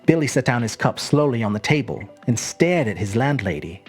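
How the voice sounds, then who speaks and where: Husky, expressive woman storyteller. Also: a bass voice, brisk, faint music behind. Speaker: story narrator. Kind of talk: expressive literary narration.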